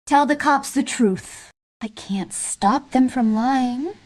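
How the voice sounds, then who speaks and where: A woman's voice: sits high, takes it slowly. Casting: scolding, fed-up woman, raised register, strict tone, crime radio play. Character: stern, exasperated woman scolding a girl.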